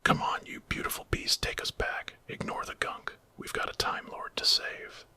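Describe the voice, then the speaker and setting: A man's voice: moderate pace, bright, forceful tone. A man voicing a resolute young woman time traveller in a whispered ASMR story, urgent and determined.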